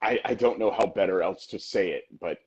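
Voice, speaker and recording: A man co-hosting a wrestling podcast in casual chat, emphatic and talking in quick bursts. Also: deep voice, darkish tone, breathy edge, muffled recording. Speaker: podcast co-host.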